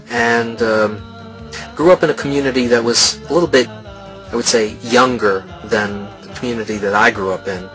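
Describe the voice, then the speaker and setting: A man's voice: fairly low pitch, a bit bright, rough recording. A man in his forties from the States, speaking thoughtfully in a documentary interview, often hedging his words. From United States. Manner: thoughtful, hedging, hand on chin. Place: indoor studio, red backdrop.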